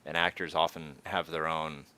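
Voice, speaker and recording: A man's voice: a bass voice, flat delivery, normal speed, pushed and bright, clear, resonant tone. A man co-hosting a casual fan discussion, musing and asking questions aloud.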